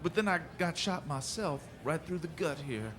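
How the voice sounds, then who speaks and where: An actor playing a simple, scrawny drifter with a rural American accent, hesitant and folksy, in a western radio drama. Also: male voice, on the high side, animated, normal speed, noisy background, natural voice. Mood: naive, unguarded. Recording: music behind the voice.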